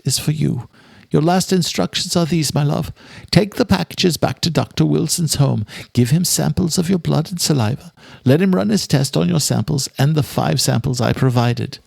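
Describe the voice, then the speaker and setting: A man's voice: on the high side, gravelly, breathy edge, no room sound, heavily acted. A man voicing an obsessive, grandiose immortal killer declaiming a possessive love letter in a dramatic audiobook style. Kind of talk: dramatic audiobook villain monologue.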